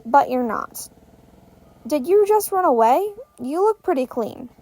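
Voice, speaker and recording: A woman's voice: sits high, animated, unhurried, darkish tone. A woman voicing a blunt, skeptical, streetwise homeless boy in an audiobook-style story. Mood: blunt, wary.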